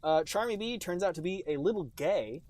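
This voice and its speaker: A man's voice: high-pitched, lively intonation, fairly quick, darkish tone. A man hosting a podcast in casual, comic chat, recorded in a small closet at home. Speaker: podcast host.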